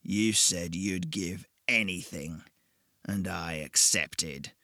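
A man voicing a ragged old devil who speaks slowly and mockingly. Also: low-pitched, bright, forceful tone, no echo, studio quality, voice pushed down, full character voice. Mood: sly menacing. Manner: slow, purring, mocking.

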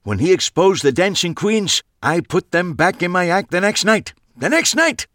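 A man voicing an older male magician who is gravelly, boastful and complaining. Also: on the high side, sing-song, moderate pace, a bit bright, no room sound, clean studio sound, pitched above the performer's own voice.

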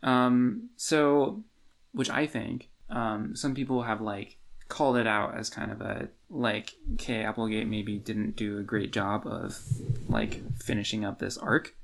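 A man's voice: lowish voice, steady tone, unhurried, vocal fry. A man co-hosting a podcast in a casual book discussion, informal and hedging as he thinks aloud. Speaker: podcast co-host.

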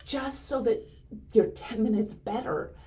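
Encouraging woman with a mellow voice. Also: on the high side, a little slow. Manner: earnest, encouraging, preachy. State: a little hoarse. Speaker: podcast co-host. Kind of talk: casual chat.

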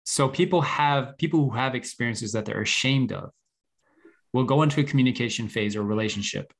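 A man's voice: medium pitch, brisk, gravelly. A man hosting a group discussion, explaining and advising in an earnest, conversational way. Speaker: host guiding a group discussion.

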